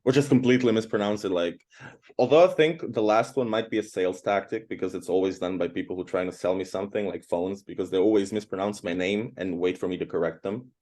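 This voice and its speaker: A man's voice: deep voice, steady tone, fast, darkish tone. A man from Croatia in his thirties, a guest in an interview, talking casually and at length with many fillers. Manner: chatty, rambling, frequent fillers.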